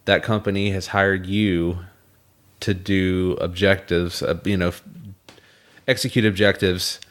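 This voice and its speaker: A young man with a scratchy throat, co-hosting a casual podcast and explaining things in a measured way. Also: deep voice, flat delivery, fairly bright tone. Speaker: podcast co-host.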